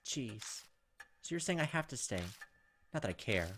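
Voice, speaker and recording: A man's voice: medium pitch, very animated, normal speed, a bit bright, slight background noise. Man playing a brusque, skeptical woman. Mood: defensive. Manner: curt, defensive. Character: brusque skeptical woman, secretive, hiding her fear. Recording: music behind the voice.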